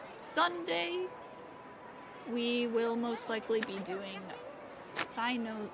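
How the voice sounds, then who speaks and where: A woman's voice: fairly high pitch, lively intonation, drawn-out words, vocal fry, noisy background. A woman livestreaming a game, chatting casually and commenting as she plays. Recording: loud game music behind. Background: loud game music.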